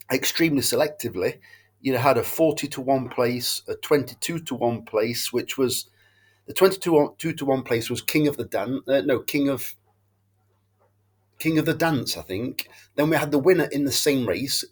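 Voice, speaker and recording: A man, stuffed up with a cold, rambling through his horse racing tips in a casual monologue and often correcting himself. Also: mid-range voice. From England. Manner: rambling, self-correcting, loses his thread. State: bunged up with a cold and hangover. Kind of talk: casual tipping monologue to camera.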